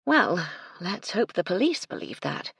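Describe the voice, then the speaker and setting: A woman's voice: on the low side, sing-song, a little slow, vocal fry, fairly bright tone, breathy edge, dry recording, studio quality, pitched above the performer's own voice, exaggerated voice. A woman voicing a composed, kindly but firm English lady in charge in a story. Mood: firm, reassuring.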